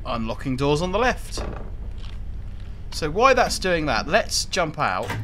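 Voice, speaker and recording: A middle-aged English man talks through a train game in a loose, chatty way, now and then stumbling over his words. He has a cold and sniffles. His voice sits a little high, with steady game and engine noise clearly heard behind it. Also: takes it slowly. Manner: chatty, relaxed, repeats words. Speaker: train sim streamer. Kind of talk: casual gameplay commentary.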